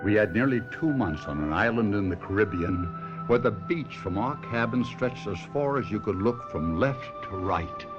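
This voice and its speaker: A man's voice: on the low side, expressive, normal speed, close to an everyday voice. An actor playing a devoted but restless husband, a ventriloquist telling his own story in a 1970s radio drama. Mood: reflective. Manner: dramatic, reflective first-person storytelling. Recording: muffled radio broadcast, music behind.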